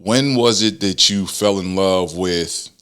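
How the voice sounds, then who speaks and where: A man's voice: sits low, takes it slowly, fairly bright tone, smooth. A young man hosting a podcast, greeting with hype and then interviewing in an easy, affirming way. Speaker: podcast host.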